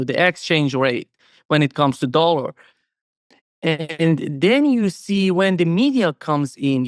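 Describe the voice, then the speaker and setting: A man's voice: on the high side, dry recording, studio quality. A man from Iran, a guest on a video call interview, speaking casually with many fillers and restarts. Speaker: host of another channel, guest.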